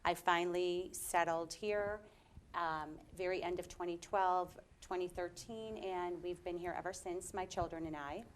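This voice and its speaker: A woman's voice: fairly low pitch, fairly even intonation, unhurried, slight background noise. A woman in her fifties who was born in Lebanon, a guest chatting in a friendly way with many fillers. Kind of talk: casual interview talk.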